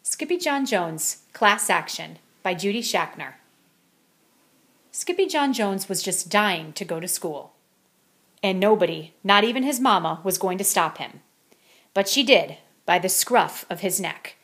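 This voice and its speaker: A woman narrating a children's storybook as an expressive bedtime read-aloud. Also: medium pitch, a little slow, husky.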